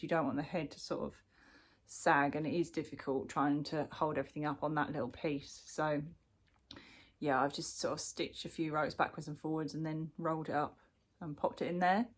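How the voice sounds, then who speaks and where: A woman from the UK chatting casually through a crochet work-in-progress update, sounding bunged up from a cold, with lots of ums and restarts. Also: low-pitched. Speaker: crochet vlogger. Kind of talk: casual show-and-tell chat.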